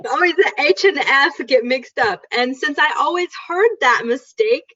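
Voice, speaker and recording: A woman's voice: high voice, lively intonation, normal speed, pushed and bright. A woman teaching in a casual chat, explaining things through examples. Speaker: English teacher. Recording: dull lo-fi sound.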